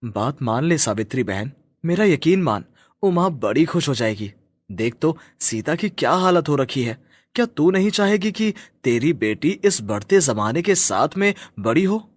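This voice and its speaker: A man's voice: on the high side, sing-song, measured pace, no room sound. A man playing a kind, caring husband and family friend in a story, earnest and insistent. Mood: insistent, pleading.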